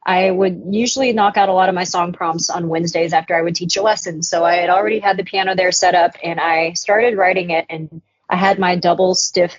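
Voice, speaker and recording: A woman's voice: on the low side, steady tone, fairly quick, hardly any pauses, no room sound. A woman musician being interviewed, talking frankly and reflectively, swearing casually now and then. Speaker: musician guest. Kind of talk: candid interview talk. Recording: dull, dry podcast audio.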